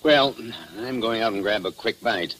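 An actor playing a gruff, suspicious and confrontational small-town official in old radio drama style. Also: male voice, fairly low pitch, normal speed, a bit bright, lightly acted. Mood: irritated, suspicious. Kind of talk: 1940s radio drama acting. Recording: noisy old radio broadcast recording.

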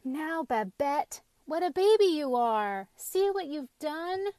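A woman's voice: on the high side, normal speed, fairly bright tone, no room sound. A woman voicing a determined, indignant young boy in a storybook, with a bossy, emphatic way of calling out. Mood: scolding.

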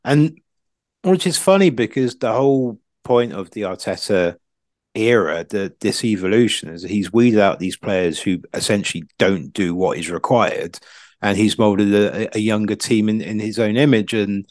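A man's voice: fairly low pitch, moderate pace, a little mellow, no echo, clean studio sound. A man co-hosting a football podcast, casually analysing in rambling, stop-start sentences full of asides.

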